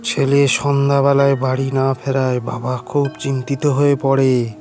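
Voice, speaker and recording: A man narrating a simple scripted cartoon story in short, halting phrases. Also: mid-range voice, monotone, a little mellow, faint music behind.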